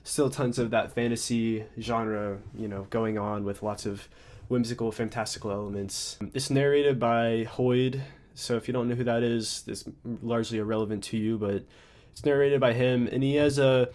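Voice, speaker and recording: A man's voice: mid-range voice, fairly even intonation, moderate pace, a little mellow. Young guy kinda stuffy nose, chatty rambling book review, lots of likes and restarts. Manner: rambling, many fillers and restarts. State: congested, slightly stuffy. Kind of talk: casual off-the-cuff book review.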